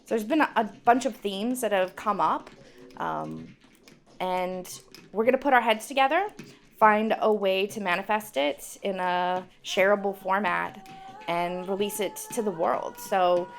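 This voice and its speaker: A woman's voice: mid-range voice, measured pace, vocal fry. A woman, a music professor, speaking confidently about a project in an interview. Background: faint music.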